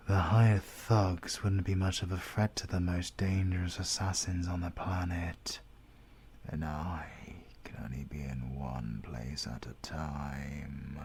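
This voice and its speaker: A British man playing a menacing, pompous male supervillain in an audio roleplay, with a breathy voice that often drops to a whisper. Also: sits low, a little mellow, full character voice. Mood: calm, calculating. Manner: slow, deliberate, pompous and threatening. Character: menacing, mysterious male supervillain who drains life force. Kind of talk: ASMR audio roleplay monologue.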